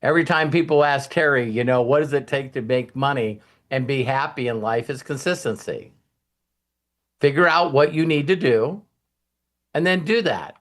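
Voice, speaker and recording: A man's voice: mid-range voice, normal speed. A man hosting a casual motivational talk in a rambling, folksy, anecdotal way. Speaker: host of a motivational team talk.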